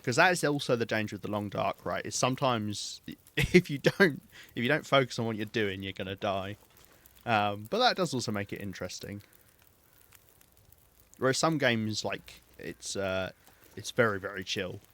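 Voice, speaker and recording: A man with a southern English accent, chatting casually and self-mockingly while streaming a game, with a calm, soothing voice. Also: on the low side, moderate pace, no room sound. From southeast England. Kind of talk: casual gameplay chat.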